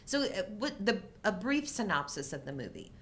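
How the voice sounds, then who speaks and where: A middle-aged woman co-hosting a show in casual movie chat, talking informally in halting fragments. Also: very animated, a bit bright. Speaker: co-host of a live chat show. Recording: low rumble and background noise.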